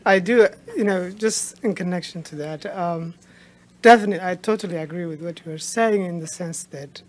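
A man's voice: high-pitched, moderate pace. A young man from Somalia in a studio audience, asking a question at a stand mic, hesitant and searching for words. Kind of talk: unscripted question.

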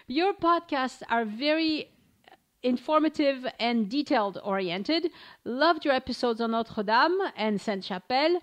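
A woman's voice: high-pitched, lively intonation, takes it slowly. A woman hosting a travel podcast, chatting casually, laughing often and stumbling over listener names as she reads reviews aloud. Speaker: podcast host.

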